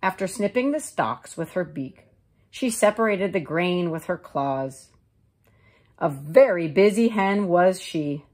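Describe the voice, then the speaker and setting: A woman teacher reading a children's storybook aloud in a measured, expressive way. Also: fairly low pitch. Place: living room couch, indoors.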